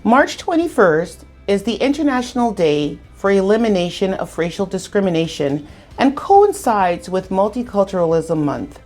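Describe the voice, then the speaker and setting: A woman hosting a virtual community event, reading a measured scripted introduction. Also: mid-range voice, very animated, darkish tone, faint music behind. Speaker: event host.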